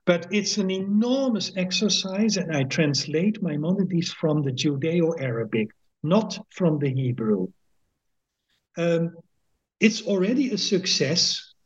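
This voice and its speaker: An older Dutch-accented man speaks very slowly, in a husky voice that sits somewhat high for a man. He talks thoughtfully, pausing, restarting and filling gaps. The audio is dull and lo-fi. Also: expressive. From Netherlands. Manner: thoughtful, hesitant, many fillers and restarts; slow, measured reading of translated poetry. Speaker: guest author, emeritus professor. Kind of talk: podcast interview.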